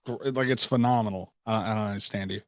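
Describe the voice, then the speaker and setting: A man's voice: lowish voice, steady tone, fast, no echo, clean studio sound. A man in casual podcast chat as a co-host, talking in a laid-back, slangy way.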